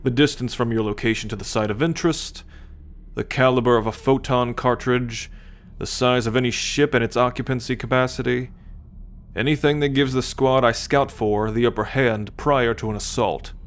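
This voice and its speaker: A man playing a smug, teasing, haughty alien soldier and scout in an ASMR roleplay, talking straight to the listener with deliberate condescension. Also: mid-range voice, fairly even intonation, natural voice. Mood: teasing, boastful. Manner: slow, deliberate, smugly condescending.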